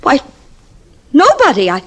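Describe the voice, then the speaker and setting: An actress playing an earnest, uneasy young woman in an old-time radio mystery, urgent and halting when alarmed. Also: high voice, huge pitch swings, exaggerated voice. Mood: puzzled, wary. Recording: vintage 1950s radio drama recording.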